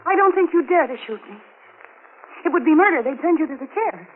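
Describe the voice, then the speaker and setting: An actress with an American accent playing an anxious, protective housewife in an old-time radio thriller, earnest and fretful in manner. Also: female voice, sits high, expressive, brisk. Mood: defiant. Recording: muffled, noisy old radio recording.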